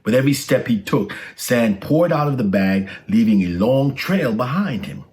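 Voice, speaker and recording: A man's voice: medium pitch, animated, normal speed, darkish tone. A man reading a children's storybook aloud as a scripted narration.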